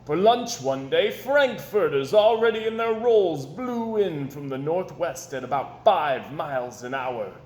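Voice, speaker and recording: Higher-voiced man reading a storybook aloud, echoey. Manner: expressive, with dramatic pauses and emphasis. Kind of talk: animated read-aloud storytelling. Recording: echoey room with background noise.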